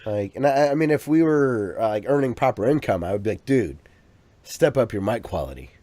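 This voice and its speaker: A man's voice: mid-range voice, expressive, fairly quick, mellow, no room sound. A man co-hosting a podcast in casual chat, rambling along with plenty of restarts and fillers. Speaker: podcast co-host. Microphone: USB microphone.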